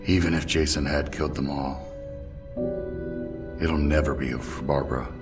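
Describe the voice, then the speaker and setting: A man playing a grim, brooding masked vigilante in a comic dub, speaking in a grave deadpan. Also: rumbling low, normal speed, distant mic, over loud music. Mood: grim, somber. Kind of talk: motion comic voice acting.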